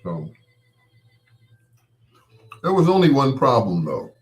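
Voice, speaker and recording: A man's voice: medium pitch, wildly swooping pitch, takes it slowly, soft background music. A man hosting a show solo, rambling casually to his listeners in a folksy, playful, anecdotal way. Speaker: host of a late-night show.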